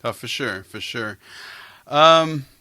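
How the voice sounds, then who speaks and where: A man's voice: mid-range voice, lively intonation, takes it slowly, bright, forceful tone, no echo. A middle-aged man hosting a podcast, interviewing in a casual, informal and playful conversational way.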